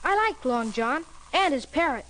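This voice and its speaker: A man's voice: extremely high pitch, expressive, normal speed, low-quality recording. A young male performer playing an earnest, eager cabin boy who narrates and acts in a storybook record with a youthful voice. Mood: earnest narrating. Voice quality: youthful, boyish. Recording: loud music behind the voice.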